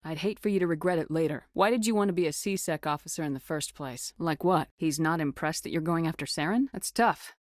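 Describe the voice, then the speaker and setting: A woman's voice: low-pitched, fairly quick, few pauses, no echo, clean studio sound, natural voice. An actress playing a tough, resolute female military commander in a sci-fi video game, speaking with firm, clipped authority. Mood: calm, firm.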